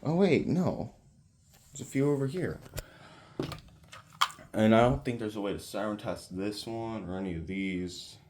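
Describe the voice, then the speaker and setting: A man hosting a casual, unscripted hobby demonstration, mumbling haltingly to himself and swearing now and then into a handheld camera. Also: lowish voice, darkish tone, slight background noise. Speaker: hobbyist video host.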